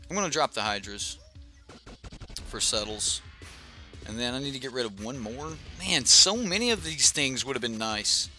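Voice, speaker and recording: A young man narrates his card game live, rambling through each move with frequent fillers and self-corrections. His voice is very bright and forward, at a middling pitch for a man. Soft music plays faintly behind him. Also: expressive, normal speed. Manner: chatty, thinks aloud with many fillers and restarts. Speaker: streamer playing a card game. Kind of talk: casual live-stream commentary.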